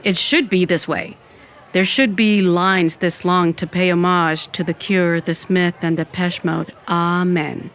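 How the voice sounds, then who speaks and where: Woman acting a wry undead goth narrator, lowish voice. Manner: wry, dramatic storytelling. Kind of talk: first-person comic audio fiction narration.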